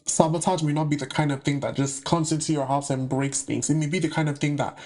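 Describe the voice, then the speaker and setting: Chatty young man, high-pitched voice. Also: steady tone, fast talker, darkish tone. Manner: chatty and slangy, with playful asides. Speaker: spiritual content creator. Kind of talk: casual monologue to camera.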